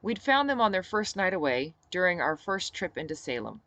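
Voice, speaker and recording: Animated, low-voiced woman narrating a novel. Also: a little fast, dry recording. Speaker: author reading own novel. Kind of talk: expressive first-person audiobook narration.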